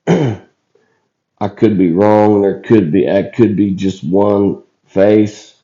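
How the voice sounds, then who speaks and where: A man hosts casually in a deep, very mellow and dark voice, rough and hoarse from illness. He talks slowly and haltingly, in plain folksy words. The recording is slightly dull and lo-fi. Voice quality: rough, scratchy voice. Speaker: video channel host. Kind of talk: casual footage commentary.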